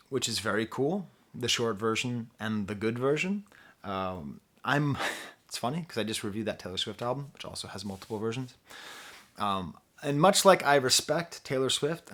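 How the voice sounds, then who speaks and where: A man giving a casual on-camera album review, talking in an animated, rambling and self-deprecating way. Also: on the low side. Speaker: music reviewer.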